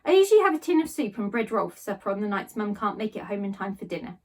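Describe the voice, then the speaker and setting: A woman reading a children's story aloud in an expressive, animated storytelling style. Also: medium pitch, quick pace, recorded from a distance. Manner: animated read-aloud delivery. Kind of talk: expressive storybook narration in first person.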